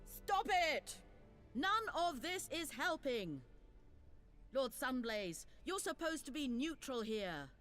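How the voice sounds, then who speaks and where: A dignified, commanding protector presiding in a fantasy game. She speaks formally and deliberately. Her high voice moves with lively emphasis. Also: soft background music, exaggerated voice. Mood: stern, commanding. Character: stern, dignified female council protector presiding. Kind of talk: fantasy video game voice acting.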